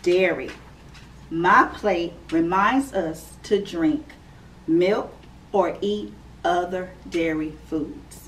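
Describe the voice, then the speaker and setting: A woman teacher reads a picture book to little children, unhurried and clear, with a sing-song lilt. Her voice sits a touch low for a woman, and she is picked up by a camera mic a few feet away in a classroom with faint room hum. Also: far from the mic, rough recording. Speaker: preschool teacher reading aloud. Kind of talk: children's read-aloud storytime. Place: classroom indoors.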